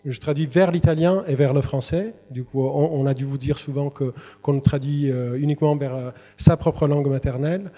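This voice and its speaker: A man's voice: fairly high pitch, fairly quick, warm tone. A man, a literary translator, giving a presentation to students, hesitant with many fillers and restarts. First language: Italian. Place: university lecture hall. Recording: long reverb in a large hall.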